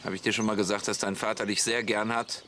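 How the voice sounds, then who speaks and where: An actor playing a grumbling, jealous macho husband in a comic radio play, blunt and sarcastic. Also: male voice, fairly low pitch, little pitch movement, fast, sharp tone. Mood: sneering. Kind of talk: radio play comedy dialogue. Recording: clear background noise.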